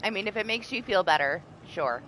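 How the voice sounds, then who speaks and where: A woman's voice: medium pitch, animated, fairly quick, pushed and bright, slight background noise, rough recording. A woman streamer chatting casually through her gameplay, sniffly and congested and now and then swearing. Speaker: game streamer. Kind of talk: casual live-stream chat.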